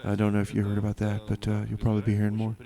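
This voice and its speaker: A man's voice: fairly low pitch, monotone, very fast, warm tone. A man hosting a music radio show with casual, hesitant, tongue-in-cheek patter, often repeating phrases for effect. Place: radio studio.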